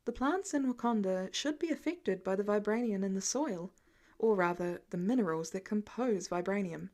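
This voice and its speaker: A woman playing a thoughtful male research scientist in an audiobook, speaking in a measured, low-key way. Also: expressive, a little mellow, natural voice. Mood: explaining.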